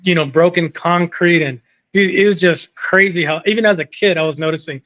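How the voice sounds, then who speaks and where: A man's voice: a little high, brisk, a bit bright. A man from California calling in to a sports talk show, rambling casually, with lots of restarts. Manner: rambling, lots of fillers and restarts, says dude. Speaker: caller. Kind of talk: casual chat on a call-in show. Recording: muffled phone-in audio.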